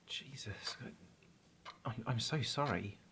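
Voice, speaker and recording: A man's voice: medium pitch, slow pace, background noise. An actor playing a shy, tidy, awkwardly romantic man with a hesitant, self-mocking manner in a radio drama. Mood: evasive, uneasy.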